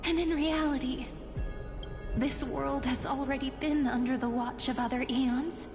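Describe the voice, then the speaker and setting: High-voiced, resolute game heroine. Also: female voice, steady tone, measured pace. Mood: calm, resolute. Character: calm, defiant young heroine reasoning against her foe. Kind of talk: video game story voice acting. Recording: reverberant game audio under music.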